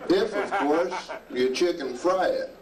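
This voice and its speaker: Man as a slow, drawling backwoods elf with a high voice, taken live from a distance over crowd and music. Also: heavy background noise. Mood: folksy. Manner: folksy, drawling, chuckling. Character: crude backwoods male elf, greasing reindeer. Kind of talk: live amateur stage comedy. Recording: live club recording from far off, loud music and crowd.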